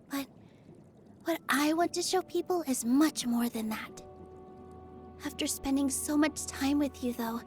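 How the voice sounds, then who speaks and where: Game role: gentle, cheerful young female dancer, played by a woman, high-pitched, earnest, easily flustered, over soft background music. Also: lightly acted. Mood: tender, sincere. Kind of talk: video game character voice lines.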